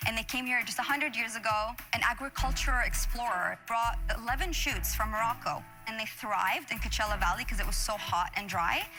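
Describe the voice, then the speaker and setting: A woman from Syria pitching her business to investors, polished and earnest. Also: brisk, few pauses. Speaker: entrepreneur pitching. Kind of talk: scripted pitch then Q&A. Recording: TV show clip with music under.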